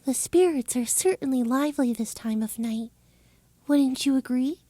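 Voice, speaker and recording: A woman's voice: high voice, moderate pace, mellow, no room sound. A woman playing an eerie, playful teenage girl in a fantasy audiobook, dreamy, distant and condescending, musing unhurriedly. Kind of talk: fantasy audiobook character acting.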